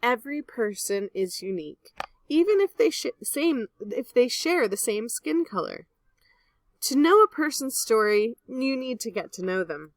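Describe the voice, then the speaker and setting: A woman reading a picture book aloud like a teacher, in a clear, expressive manner meant for young children. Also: on the high side, a little slow, dry recording. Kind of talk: children's read-aloud narration.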